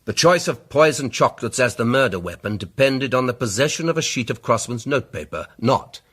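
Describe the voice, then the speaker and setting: An actor playing a pompous, self-assured English barrister sleuth in a radio drama, speaking with rhetorical, deliberate emphasis. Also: male voice, mid-range voice, lively intonation, a bit bright, husky. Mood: assured, oratorical. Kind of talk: radio drama, courtroom-like oratory.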